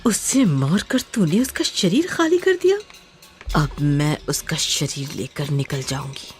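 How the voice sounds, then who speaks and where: A woman's voice: low-pitched, wildly swooping pitch, darkish tone, soft background music, voice pushed down, heavily acted. Vengeful, mocking female ghost, eerily swooping. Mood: cold, bitter. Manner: eerie drawn-out calling with cackles. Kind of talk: horror audio story acting.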